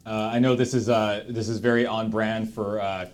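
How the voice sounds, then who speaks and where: A man hosting a casual group chat, speaking in a halting, informal way as he prompts people. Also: fairly low pitch, level tone. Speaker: host.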